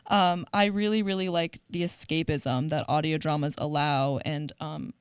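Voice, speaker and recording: A woman's voice: deep voice, fairly even intonation, normal speed, smooth, no echo, clean studio sound. A woman speaking as a podcast guest in a casual, conversational style. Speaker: community coordinator, podcast guest.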